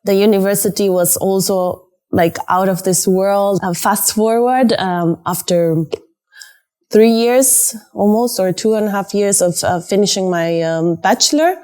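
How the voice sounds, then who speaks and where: A woman's voice: medium pitch, unhurried, dark tone, clear, resonant tone. Chatty enthusiastic woman interviewee. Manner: chatty, enthusiastic, many fillers. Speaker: entrepreneur interviewee. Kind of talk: documentary interview.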